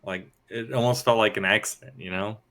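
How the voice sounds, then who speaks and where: A man's voice: on the low side, fairly even intonation, brisk, fairly bright tone. A man talking casually and reflectively, in an informal, tentative manner. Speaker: podcast regular, tabletop role-playing player.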